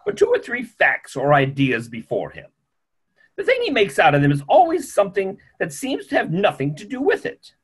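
Grumpy, opinionated older male doctor played by a man, theatrical, emphatic, very animated, slightly high pitch. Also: moderate pace, husky, exaggerated voice. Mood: exasperated explaining. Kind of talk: theatrical play reading. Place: small home room on a video call.

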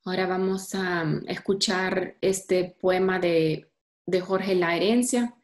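A woman in her thirties presenting a literature session on a video call, halting with fillers and restarts. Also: fairly low pitch, steady tone, darkish tone. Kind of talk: casual online talk.

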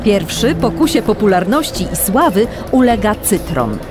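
A woman's voice: medium pitch, very animated, normal speed. A woman reading dramatic scripted narration for a children's audio drama. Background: loud music.

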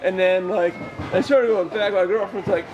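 A man's voice: high-pitched, fairly even intonation, moderate pace, lo-fi sound. A man making casual small talk in an accommodating, stammering, chuckly way as a member of the public. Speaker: member of the public being pranked. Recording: street noise with traffic.

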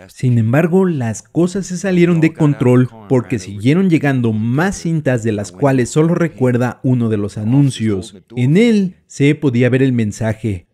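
Male storyteller, scripted horror explainer, gently dark timbre, suspenseful delivery, faint music bed. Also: medium pitch. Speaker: narrator of a horror explainer channel.

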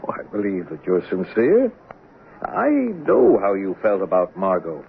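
An actor playing a patient, halting police officer who humors and soothes, in a 1970s radio drama. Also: male voice, low voice, huge pitch swings, gravelly, exaggerated voice. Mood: confiding. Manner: hesitant, placating. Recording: lo-fi noisy vintage radio broadcast.

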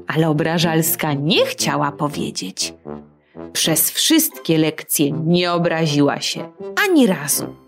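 Slow expressive woman reading a children's tale. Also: sits low, faint music behind. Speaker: storyteller. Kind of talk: children's audiobook narration.

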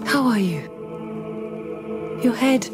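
A woman's voice: fairly high pitch, animated, slow pace. Composed caring mother over music. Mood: concerned, curious. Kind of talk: video game cutscene acting.

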